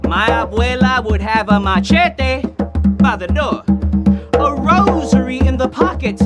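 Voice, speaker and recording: Female poet, slightly high pitch, vivid fierce reading over loud drums. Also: expressive, unhurried. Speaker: performer. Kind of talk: spoken word poetry. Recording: loud percussion music behind.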